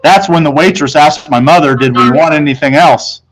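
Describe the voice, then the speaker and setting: A man's voice: fairly high pitch, normal speed. Man reading a children's story aloud. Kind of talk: narrated read-aloud of a children's novel.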